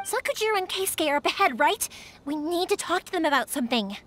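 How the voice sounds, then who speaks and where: A woman's voice: very high voice, fast talker, slight background noise, full character voice. An actress voicing a cheerful, determined young woman fireworks maker in a video game. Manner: lively, caring and determined. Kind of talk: video game dialogue acting. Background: game music.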